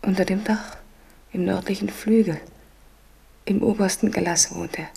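A woman's voice: medium pitch, steady tone, moderate pace, warm tone, full character voice. An actress in a German radio drama playing a king's doomed mistress, fearful, anguished and tenderly devoted. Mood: haunted dread. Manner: breathy, anguished, foreboding.

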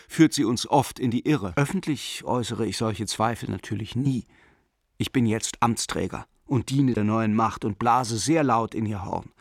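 An actor playing an earnest German family man and official torn between doubt and hope, phrasing his letter reflectively and deliberately in a radio drama. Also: medium pitch, no room sound, studio quality, lightly acted. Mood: brooding, swept up.

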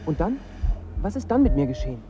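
A man's voice: a little high, very animated, quick pace. An actor playing a dead father who appears to his son in a dream, probing and consoling, in a radio drama. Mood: insistent. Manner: insistent, probing, then consoling. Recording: dull sound with music behind.

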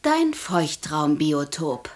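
An actress playing an exasperated, reproachful wife in a radio play. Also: female voice, deep voice, big pitch swings, slow pace, heavily acted. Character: exasperated, nagging wife of an unemployed man. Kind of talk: radio drama dialogue.

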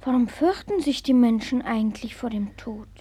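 Radio play child: earnest, frail boy, high-pitched, husky-soft, simply questioning. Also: expressive, normal speed. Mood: timid, wondering. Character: frail, earnest young sick boy in bed. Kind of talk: 1960s German radio play acting.

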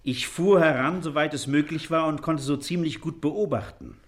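An actor in a German radio play playing an earnest, educated gentleman, stiffly polite, precise and easily offended. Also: a little high, normal speed, a little hiss or hum, close to an everyday voice.

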